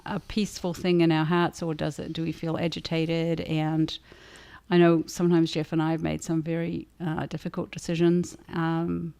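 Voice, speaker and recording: A woman with a notably low voice and plenty of vocal fry co-hosts a casual livestream. Her intonation stays quite flat as she thinks her way through answers to audience questions, halting and conversational. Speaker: author and livestream co-host.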